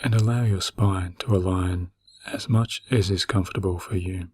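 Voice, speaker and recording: Low, husky man guiding meditation. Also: fairly even intonation. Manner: slow, gentle, soothing, with long pauses. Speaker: meditation guide. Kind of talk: guided meditation narration.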